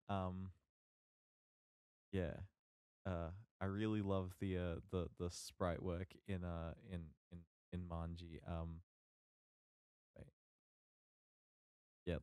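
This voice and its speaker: A man co-hosting a podcast, chatting casually and haltingly as he thinks aloud with lots of ums. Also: low-pitched, level tone, a little mellow, clear, resonant tone, no room sound, clean studio sound. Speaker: podcast co-host.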